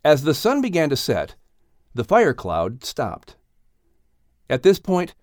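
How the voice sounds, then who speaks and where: Male narrator for children's story. Animated melody, medium pitch, close studio-dry sound. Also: no echo. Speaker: audiobook narrator.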